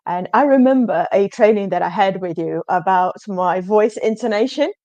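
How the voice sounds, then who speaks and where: A woman's voice: medium pitch, lively intonation, moderate pace, warm tone, no room sound. Woman, native portuguese speaker, guest, earnest, emphatic, dry close sound. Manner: earnest, emphatic, often says absolutely. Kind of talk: casual interview answers.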